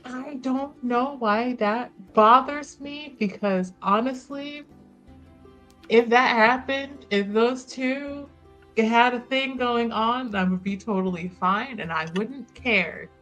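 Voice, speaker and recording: A woman playing in a tabletop roleplaying game, improvising in character with asides, in candid, halting phrases. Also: a little high, a bit bright. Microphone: close microphone on online stream. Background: music.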